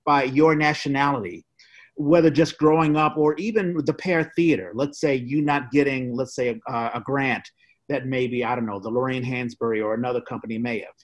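A man's voice: mid-range voice, steady tone, moderate pace, highs cut off. A man co-hosting a casual interview, asking questions in a polite, tentative, roundabout way. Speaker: podcast co-host asking questions.

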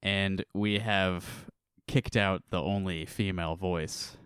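A man hosting a casual comedy podcast, cracking deadpan jokes. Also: deep voice, takes it slowly, clear, resonant tone, no echo, clean studio sound. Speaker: podcast host.

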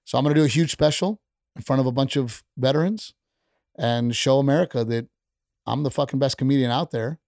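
Gravelly, fired-up male comedian. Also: medium pitch, brisk, a little mellow, no echo, studio quality. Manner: blunt, heated, profane. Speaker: stand-up comedian, podcast guest. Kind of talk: casual chat. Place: podcast studio.

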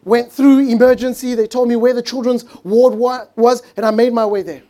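A pastor preaching a sermon in earnest, drawing out key words slowly and dropping to a hush for emphasis. English is not his first language. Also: very high voice, steady tone.

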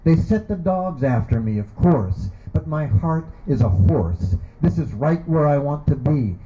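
A man giving a measured, deliberate reading of his own poetry. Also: fairly high pitch, a little hiss or hum. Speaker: poet reading his work. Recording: muffled lo-fi recording.

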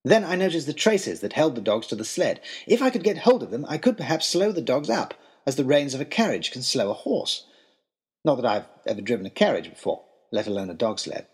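An earnest young gentleman adventurer, acted by a man, narrates a comic period audio drama in wordy, mannered phrases. His pitch is mid-range and swings in a lively way, with a husky edge to the voice and an anxious, wryly understated temper. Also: a little fast. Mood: wry storytelling. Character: Timothy Hope, anxious, florid young gentleman adventurer writing to his lady. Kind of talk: first-person comic adventure storytelling, letter-like period narration.

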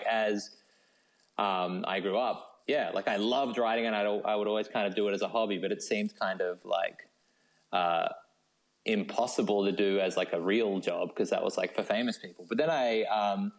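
Aussie bloke being interviewed, lower voice, very informal and rambly, says like a lot. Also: male voice, low-quality recording. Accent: Australian. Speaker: novelist guest. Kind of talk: casual chatty interview answers.

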